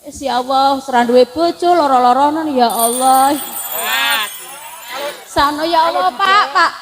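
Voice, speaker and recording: A woman's voice: sits high, a little slow. A woman in her forties with a Javanese accent, giving a comic sermon from a stage, joking and teasing the crowd. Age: 40s. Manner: joking, chatty, teases the crowd, breaks into song. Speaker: preacher. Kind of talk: comic sermon at a religious gathering. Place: on a stage at an event.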